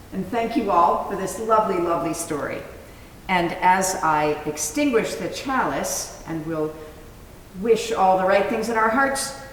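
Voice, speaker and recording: Slow, calm woman minister in an echoey hall. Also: mid-range voice. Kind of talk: closing words of a worship service. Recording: very echoey hall, distant mic, faint noise.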